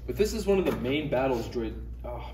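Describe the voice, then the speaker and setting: A man's voice: mid-range voice, lively intonation, a little fast, warm tone, close to an everyday voice. Echoey, self-assured warrior master played by a man. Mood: earnest. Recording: echoey, distant, faint noise.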